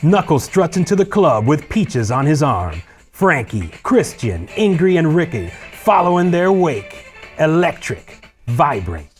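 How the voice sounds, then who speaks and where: A man narrating the stage directions of a screenplay as a scripted read. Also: on the high side.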